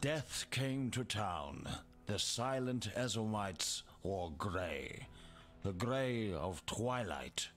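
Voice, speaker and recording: Slow, incantatory doomsayer. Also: male voice, on the low side, expressive, a bit bright, exaggerated voice. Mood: ominous chanting. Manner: incantatory, theatrical. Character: mournful, proud male doomsayer of a fallen empire. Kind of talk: scripted game character dialogue. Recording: music behind the voice.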